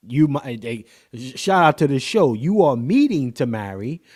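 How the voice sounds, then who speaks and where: A man's voice: medium pitch, darkish tone. A man hosting a podcast, reacting to a dating show clip with casual, joking commentary and frequent laughter. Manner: laughing, joking, playful slang.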